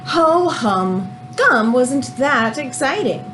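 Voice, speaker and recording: Animated woman reading aloud to children, high-pitched. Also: slow, noisy background. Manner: animated, explanatory, teacherly. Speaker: teacher reading a picture book aloud. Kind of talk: expressive children's read-aloud with asides.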